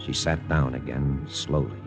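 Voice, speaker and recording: An actor with an American accent playing a world-weary intelligence lieutenant in a 1940s radio drama, grave and measured in a confessional way. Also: male voice, a bass voice, steady tone, creaky. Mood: grave, regretful. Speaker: actor narrating and playing the lead. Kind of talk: 1940s radio drama acting, first-person narration. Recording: old radio recording with loud music.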